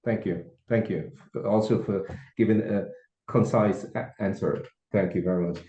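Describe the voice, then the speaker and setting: A man's voice: on the low side, moderate pace, mellow. A man moderating a webinar on a video call, politely reading out and repeating questions from the chat. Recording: roomy, dull video call audio.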